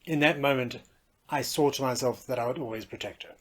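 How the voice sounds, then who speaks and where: A man's voice: medium pitch, fairly quick, a bit bright. A man telling a personal story as a podcast host, candid and wry. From South Africa.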